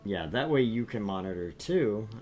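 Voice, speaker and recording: Laid-back young man streamer, very lively pitch. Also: moderate pace, soft background music. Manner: laid-back, self-deprecating joking. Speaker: game streamer co-host. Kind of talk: casual let's-play chat.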